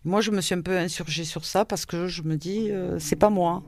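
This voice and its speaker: An older woman with a Toulouse accent, a theatre teacher, speaking firmly and deliberately in an interview. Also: lowish voice, fairly even intonation, a little mellow, no room sound, studio quality. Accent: southern French, Toulouse. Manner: firm, deliberate. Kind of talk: interview testimony. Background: music.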